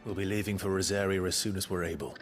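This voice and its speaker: Brooding young British fugitive warrior, played by a male actor: very low, restrained, sincere game-drama delivery over prominent music. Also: fairly even intonation, fast talker, natural voice. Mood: earnest, resolved. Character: brooding, earnest young male fugitive swordsman, courteous and guarded. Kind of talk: dramatic video game acting. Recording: cinematic music behind the voice.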